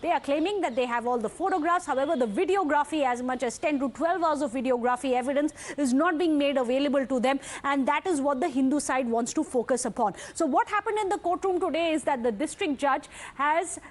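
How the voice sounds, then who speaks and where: Female TV news anchor, Indian English, high voice, emphatic live breaking-news delivery, hammers key points. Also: fairly quick, hardly any pauses.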